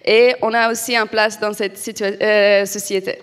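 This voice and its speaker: Young woman from Afghanistan, halting speech. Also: medium pitch, level tone, a bit bright. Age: young adult. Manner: careful, halting, many fillers. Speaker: award winner, football coach. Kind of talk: acceptance speech. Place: event studio stage. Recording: reverberant hall.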